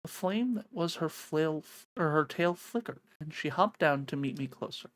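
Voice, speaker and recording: An expressive, excitable streamer reading a visual novel aloud in a dramatic way, with casual sweary reactions. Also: mid-range voice, normal speed.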